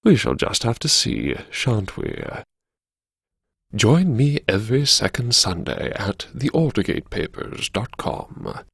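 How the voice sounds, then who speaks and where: A man performs a wry university grandee narrating an audio memoir. The voice is gravelly and swoops theatrically, lingering sardonically over words. It is recorded dry and close, with a slow, deliberate delivery. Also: fairly low pitch, wildly swooping pitch, fairly bright tone, dry recording, heavily acted. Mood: arch, confiding. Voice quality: gravelly, husky. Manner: arch, sardonic, savouring words with dramatic pauses. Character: wry, theatrical, self-mocking university vice-chancellor narrating his haunted memoirs. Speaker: narrator of a serialised audio story.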